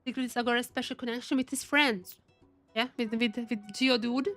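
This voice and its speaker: A woman giving casual live commentary as she plays a game, thinking aloud in an excitable, exclamatory way. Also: a little high, moderate pace, soft background music. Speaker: streamer playing a game.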